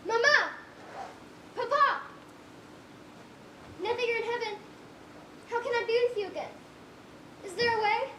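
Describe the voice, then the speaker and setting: A woman's voice: very high-pitched, a little slow, long pauses, sharp tone, exaggerated voice. A young woman playing a stubborn, grieving little foreign orphan girl on stage, earnest and defiant, with formal phrasing. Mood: pleading. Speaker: student actor in a one-act play. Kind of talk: projected stage acting. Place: indoor stage, recorded live. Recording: echoey stage, faint background noise.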